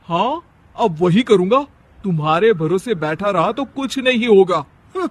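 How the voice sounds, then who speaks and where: Man as an irritated, high-voiced young husband. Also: animated, measured pace. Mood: fed up. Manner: complaining, exasperated. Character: irritated young husband fed up with lazy wife. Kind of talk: Hindi cartoon family story.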